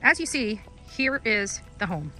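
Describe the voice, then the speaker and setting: A woman's voice: a little high, sing-song, measured pace, sharp tone, faint music behind. A woman doing unscripted vlog-style reporting outdoors, often repeating her phrases. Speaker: amateur on-site reporter. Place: outdoors in quiet rural area.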